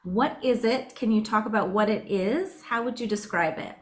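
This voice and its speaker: A woman's voice: mid-range voice, normal speed. A woman hosting a podcast, introducing her guest and encouraging him with frequent murmurs of assent. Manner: encouraging, lots of backchannel murmurs. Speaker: podcast host. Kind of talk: podcast interview.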